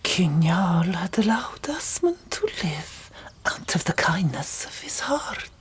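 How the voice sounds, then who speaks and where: A woman narrating an audiobook in a whispered ASMR style, with deliberate, dramatic storytelling. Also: fairly low pitch, fairly bright tone.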